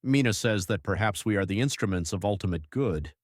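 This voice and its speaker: A man playing a troubled, devoted young husband reading his journal in measured literary diction for an audiobook. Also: lowish voice, animated, no room sound, clean studio sound. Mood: hopeful, subdued. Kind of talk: dramatised audiobook narration.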